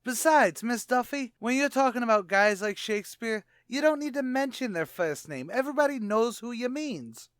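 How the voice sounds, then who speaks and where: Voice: mid-range voice, lively intonation, moderate pace, few pauses, a bit bright, clean tone, dry recording, studio quality, natural voice. Someone playing a brash New tavern manager. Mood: pompous, argumentative. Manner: breezy, mock-erudite, brash. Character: wisecracking, pompous, malaprop male tavern manager Archie. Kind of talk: old-time radio comedy acting.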